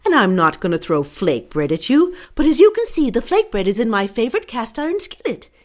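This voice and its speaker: A woman's voice: fairly high pitch, very animated, brisk, darkish tone. A woman voicing a polite, plucky old auntie fish, folksy and prim, in a cartoonish western audio drama. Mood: prim, feisty.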